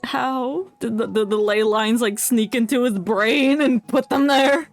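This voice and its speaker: A woman's voice: fairly high pitch, unhurried, soft background music. A woman streaming a game with casual, slangy, joking commentary, reacting to the story. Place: streaming setup, game audio behind.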